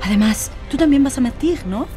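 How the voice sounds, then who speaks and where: A woman's voice: sits high, expressive, a little fast, music in the background. An actress playing a wary, stubborn jailed woman, speaking firmly and insistently in an audio drama. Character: jailed young French woman, wary, stubborn, self-protective.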